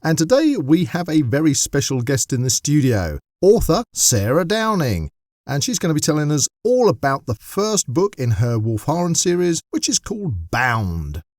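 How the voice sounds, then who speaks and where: A man's voice: on the high side, sing-song, normal speed, darkish tone, no echo, studio quality. A man hosting a podcast and chatting as he interviews a guest. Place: padded podcast studio.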